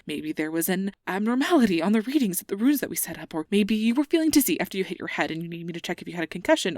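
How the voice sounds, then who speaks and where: A woman playing a nervous, earnest young fantasy researcher in an ASMR roleplay, rambling and self-doubting, with soft breathy delivery. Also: medium pitch, no room sound. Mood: flustered rambling. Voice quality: breathy, often dropping to a soft whisper. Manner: flustered, stammering, over-explaining, self-doubting.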